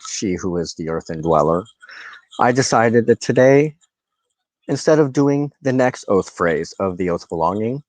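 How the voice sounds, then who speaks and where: A man hosting a spiritual show, teaching informally to camera in halting, deliberate phrases with emphatic repeats. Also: lowish voice, darkish tone, no echo, poor audio.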